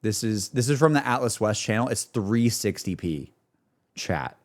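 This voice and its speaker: A man's voice: lowish voice, animated, moderate pace. A man livestreaming in a casual, slangy, repetitive way, talking to his chat and reacting off the cuff. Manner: slangy, repetitive, full of "like", "bro" and "dude". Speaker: streamer.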